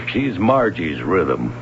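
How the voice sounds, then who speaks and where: Picture an easygoing swimming coach in an old radio mystery, chuckling as he explains. His voice is extremely deep and slow. The broadcast is dull, lo-fi, with faint noise. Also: vocal fry, heavily acted. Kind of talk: 1970s radio drama acting.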